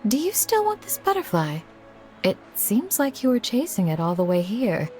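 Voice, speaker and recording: A woman voicing a calm, caring village guardian in a video game, modest and composed. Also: a little high, normal speed, faint music behind. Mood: kindly.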